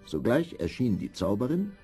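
A man narrating a scripted fairy tale in a measured, expressive storytelling manner. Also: medium pitch, soft background music.

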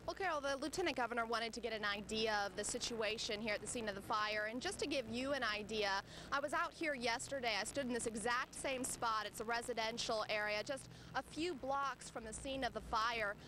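A young adult woman, a field reporter, giving a measured live news report outdoors on a residential street into a handheld mic. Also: a little high, background noise. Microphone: handheld station mic.

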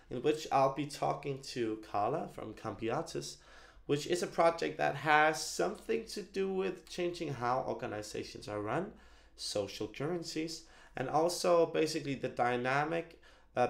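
A man's voice: mid-range voice, creaky. A young man in his twenties hosting an interview show, speaking casually and upbeat with many fillers. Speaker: host. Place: small attic room indoors. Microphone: headset.

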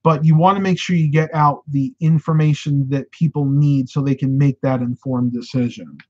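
Animated man, slightly hoarse. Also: on the high side, normal speed. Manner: animated, gestures with hands while talking. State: getting hoarse. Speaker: host of a live stream. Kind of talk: casual live-stream advice chat. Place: home room with shelves. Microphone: webcam at desk. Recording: slightly dull lo-fi webcam sound.

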